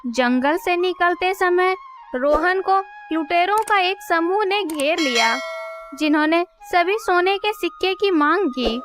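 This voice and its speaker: Very high-pitched, slow woman storyteller. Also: expressive. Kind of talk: scripted children's story narration. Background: music.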